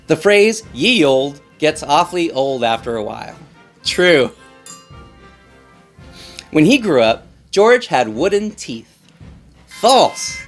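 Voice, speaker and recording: A man's voice: on the high side, very animated, slow pace, long pauses, faint music behind. A man hosting a children's read-aloud, reading in a playful, theatrical way and putting questions to young listeners.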